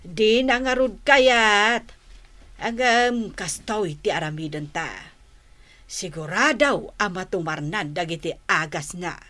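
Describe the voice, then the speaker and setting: A woman's voice: medium pitch, animated, slow pace, sharp tone. A woman acting in a radio drama as a scheming, coaxing middle-aged wife and mother with an Ilocano accent. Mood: plotting.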